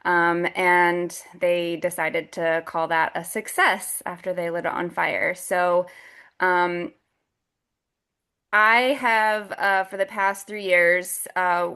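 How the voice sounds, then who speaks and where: A woman's voice: lowish voice, level tone, slow pace, a bit bright, smooth. A woman in her forties from Ohio, an advocacy group founder, giving an earnest, emphatic testimony on a webinar. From Ohio, United States.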